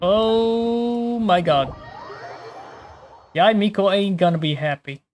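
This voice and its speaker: A woman's voice: medium pitch, takes it slowly, background noise. A woman streamer giving casual, informal commentary while playing a video game. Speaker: gaming streamer.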